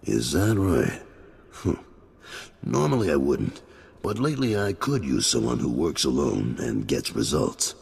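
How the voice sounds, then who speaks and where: An actor playing a blunt, gruff gangster boss in a video game. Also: male voice, very deep voice, sing-song, measured pace, darkish tone, in a large hall, full character voice.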